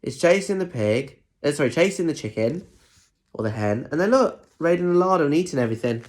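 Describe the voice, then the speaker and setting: A man's voice: on the high side, lively intonation, brisk, a little hiss or hum. A man reading a picture book expressively for young children, dropping in informal, playful asides. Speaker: storybook reader.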